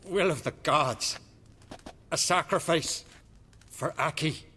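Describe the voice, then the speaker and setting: Haunted, guilt-ridden male zealot for a game: rough husky voice, dragging pace, cavernous echo. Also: on the high side, sharp tone, exaggerated voice. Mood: anguished. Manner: slow, solemn, archaic phrasing. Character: tormented, guilt-ridden Norse clansman, devout and haunted. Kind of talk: video game cutscene acting. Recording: long hall-like reverb.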